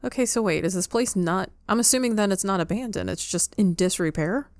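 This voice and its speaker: A woman's voice: medium pitch, brisk, darkish tone, no echo. A woman giving casual playthrough commentary on a game, musing and thinking aloud a little hesitantly. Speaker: gaming commentator.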